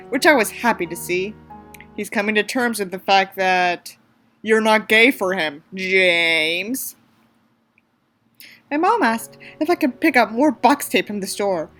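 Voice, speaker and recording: A woman's voice: mid-range voice, fairly bright tone. A woman giving a mocking dramatic reading of a story, dropping in sarcastic, theatrical casual asides. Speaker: host reading a story aloud with commentary. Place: small room in an apartment.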